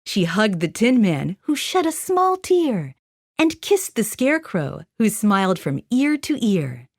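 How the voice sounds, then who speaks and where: A woman narrating a scripted rhyming children's story in an expressive, sing-song manner. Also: medium pitch, unhurried, dry recording, studio quality.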